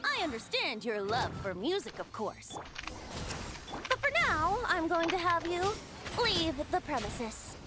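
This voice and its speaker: A woman's voice: very high-pitched, very animated, unhurried, loud music behind the voice, heavily acted. A woman voicing a youthful male bard in a video game, a whimsical, breezy wind spirit who speaks playfully and poetically with theatrical flair. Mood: playful, mock polite.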